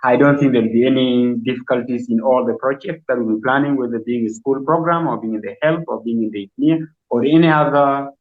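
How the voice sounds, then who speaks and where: A man who heads a nursing department, speaking in a measured, appreciative way on a video call. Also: mid-range voice. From South Sudan. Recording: tinny phone-like call audio.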